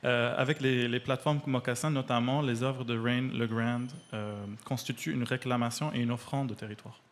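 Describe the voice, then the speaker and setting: A man's voice: medium pitch, steady tone, moderate pace. Male arts columnist, French speaker. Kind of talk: prepared radio-style arts review with some reading.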